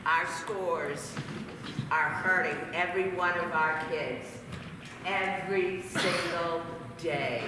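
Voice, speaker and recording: A man's voice: on the high side, takes it slowly. A man speaking at a public school board meeting, emphatic and indignant, repeating his points. He is heard from a floor mic that partly cuts out. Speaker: resident speaking at public comment. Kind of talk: impassioned public comment. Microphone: floor mic, partly cut off, heard from far. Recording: echoey hall from far off, noisy.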